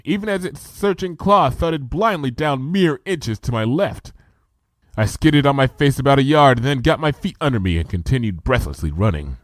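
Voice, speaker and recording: A man's voice: mid-range voice, dry recording. A man reading a comedy adventure story aloud with vivid first-person action narration. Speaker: fanfiction reader.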